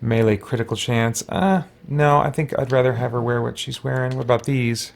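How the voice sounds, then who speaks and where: A man's voice: mid-range voice, steady tone, moderate pace, creaky. A man giving casual gameplay commentary as a let's play host, thinking aloud and muttering to himself as he goes.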